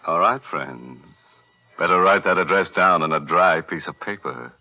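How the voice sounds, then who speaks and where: An actor playing a mock-sinister, tongue-in-cheek horror host on a 1940s radio show. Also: male voice, deep voice, moderate pace. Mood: gleefully macabre. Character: ghoulish, gleefully punning male horror host. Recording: muffled old radio recording, music behind.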